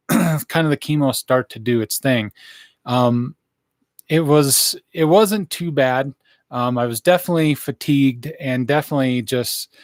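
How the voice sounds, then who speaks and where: A hoarse man in his thirties giving a casual, rambling live update into a studio microphone in a small room. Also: medium pitch, no room sound. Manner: rambling, many fillers and restarts. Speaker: vlogger giving a health update. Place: small indoor room, wood-plank backdrop. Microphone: studio mic on boom arm in front.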